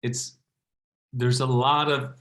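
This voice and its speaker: A man speaking casually as a guest in a panel discussion, haltingly thinking aloud. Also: mid-range voice, flat delivery, fairly bright tone. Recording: roomy, echoey sound.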